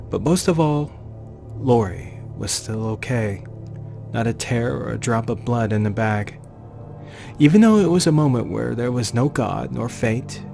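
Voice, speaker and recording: A man's voice: medium pitch, creaky, a little mellow. Man narrating horror over music. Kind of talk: dramatic scripted narration of a horror comic.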